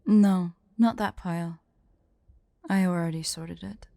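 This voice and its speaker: Woman acting as female dragon, haughty, prickly, secretly fond, ASMR roleplay, very slow delivery, dark mellow tone, close dry sound. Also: fairly low pitch, fairly even intonation, vocal fry, no room sound. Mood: impatient. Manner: imperious, indignant, slow and deliberate.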